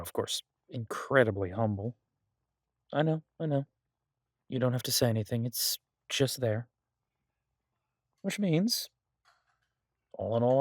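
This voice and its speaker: A man performs a charming male crime boss in an intimate audio roleplay, recorded very dry with no room sound. His voice sits at a middle pitch as he rambles casually, pausing and hesitating, slipping between self-mockery and playful boasting. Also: no room sound. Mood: fond, playfully smug. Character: charming wry male mafia boss, restless in hiding.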